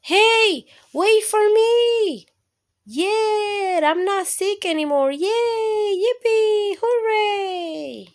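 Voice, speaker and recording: A woman voicing a naive, excitable young schoolboy from a picture book, with an innocent, exclamatory delivery. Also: very high voice, drawn-out words, voice pushed up, full character voice. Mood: excited.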